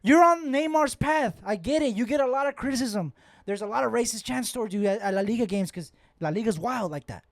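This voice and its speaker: A man's voice: extremely high pitch, expressive, a little fast, creaky, no echo, clean studio sound. A man co-hosting a football podcast in casual banter, blunt and slangy, swearing and repeating phrases insistently. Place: podcast studio.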